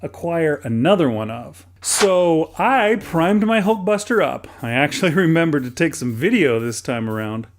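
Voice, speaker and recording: American man, middle-aged, slightly high-pitched voice, conversational scripted narration, self-deprecating storyteller. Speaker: toy hobbyist and video host. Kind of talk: scripted first-person narration of a how-to hobby video.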